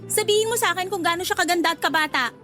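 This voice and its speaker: A woman's voice: very high-pitched, rapid-fire, sharp tone, soft background music. A woman playing a flirty, easily offended and jealous market vendor in a Tagalog radio drama, with a teasing, pouty delivery. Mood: jealous, huffy.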